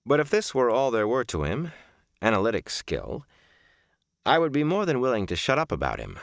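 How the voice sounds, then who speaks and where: A man reading a first-person literary book as a narrator, with measured, deliberate phrasing and emphatic stresses. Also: medium pitch, huge pitch swings, vocal fry, no echo, clean studio sound. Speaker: audiobook narrator. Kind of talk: scripted audiobook narration of a reflective first-person memoir novel.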